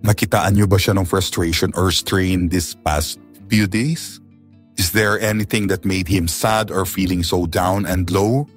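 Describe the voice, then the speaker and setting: Deep-voiced, measured male doctor, played by a man. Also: steady tone. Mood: clinical, grave. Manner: clinical, measured, explanatory. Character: calm male physician explaining a grave diagnosis. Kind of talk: Filipino audio drama dialogue. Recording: music bed under the voice.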